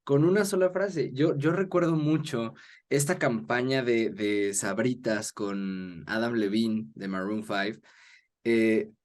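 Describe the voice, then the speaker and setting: A man's voice: medium pitch, slow, a little mellow. A man with a Mexican accent chatting casually as the host of an interview.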